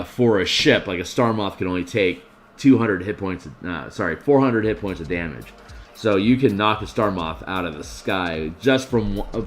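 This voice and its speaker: A man with a tired, gruff voice streaming casually, rambling through tabletop game design with lots of fillers. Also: deep voice, a little hiss or hum. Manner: rambling, many fillers and restarts. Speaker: streamer and map artist.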